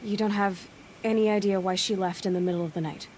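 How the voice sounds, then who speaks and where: An actress playing a determined young huntress in a video game, serious and measured, stern yet compassionate. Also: female voice, medium pitch, steady tone, a little mellow, slight background noise, lightly acted. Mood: serious concern. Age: young adult. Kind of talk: dramatic video game acting.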